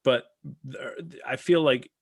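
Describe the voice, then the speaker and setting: A man co-hosting a casual review discussion, talking in a halting but emphatic, conversational way. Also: medium pitch, dry recording, clean studio sound. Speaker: podcast host.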